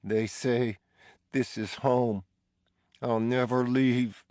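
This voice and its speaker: Male reader voicing a bitter, broken male prisoner: higher, strained voice, slow accusations that erupt in anguish; audiobook figure. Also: steady tone, a little mellow, no room sound, studio quality. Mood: bitter, reproachful. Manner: slow, drawn-out accusations rising to anguished outbursts.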